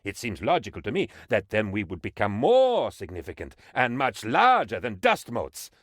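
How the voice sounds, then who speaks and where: A man voicing an excitable, pompous and dim-witted sycophantic aide to a dictator in a video game, with theatrical, naively enthusiastic delivery. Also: on the low side, moderate pace, no echo, studio quality, exaggerated voice. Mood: earnest, naive. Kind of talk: video game character voice lines.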